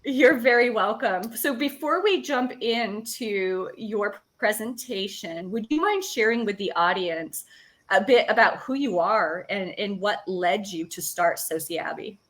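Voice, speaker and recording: A woman's voice: medium pitch, expressive, unhurried, a bit bright. A woman hosting an online interview, introducing her guest in an upbeat, polite way and guiding the slides. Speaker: webinar host.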